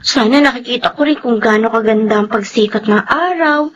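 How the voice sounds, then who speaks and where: A woman's voice: fairly high pitch, fairly quick, muffled recording, natural voice. A woman playing a sweet, playful blind younger sister in a Filipino radio drama, with a Filipino accent. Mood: playful, wistful.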